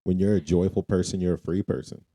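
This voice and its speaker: A man's voice: sits low, fairly even intonation, fast talker, dark tone. A man co-hosting a faith podcast, speaking informally and emphatically, often repeating phrases to drive them home. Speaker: podcast co-host. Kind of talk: casual conversational faith podcast.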